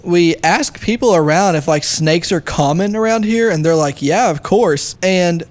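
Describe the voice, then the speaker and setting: A man's voice: high-pitched, normal speed. A young man telling a story casually as a podcast guest, emphatic and given to exaggeration. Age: young adult.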